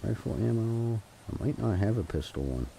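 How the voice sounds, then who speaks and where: A man's voice: on the low side, animated, normal speed, creaky, warm tone, slight background noise, low-quality recording. A man streaming a game, muttering to himself with a stuffy nose from a cold. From Canada. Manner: mumbling, talks to himself and the game. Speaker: gaming streamer. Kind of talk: casual solo game commentary.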